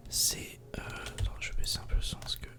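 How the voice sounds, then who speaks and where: A man whispers casual ASMR game commentary in a breathy, unvoiced voice, speaking directly to the viewer. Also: fast talker. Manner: casual, soft-spoken, addressing the viewer directly. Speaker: ASMR gaming video creator.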